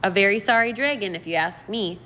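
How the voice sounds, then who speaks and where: A woman's voice: mid-range voice, fairly quick, bright, forceful tone, a little hiss or hum, lightly acted. Woman reading as a blunt, scolding old great aunt. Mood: disapproving. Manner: blunt, disapproving.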